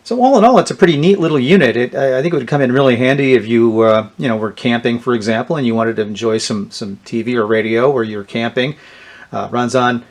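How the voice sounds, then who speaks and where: A man's voice: mid-range voice, fast talker. A man giving a casual, unscripted hands-on product walkthrough in a plainspoken, explanatory manner. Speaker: gadget reviewer. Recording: clear background noise and clicks.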